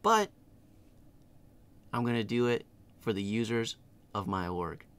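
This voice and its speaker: A middle-aged man hosting a casual screen-share software tutorial in a tongue-in-cheek, playfully exaggerated way. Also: fairly low pitch, big pitch swings, a little slow, clear, resonant tone. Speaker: tutorial host.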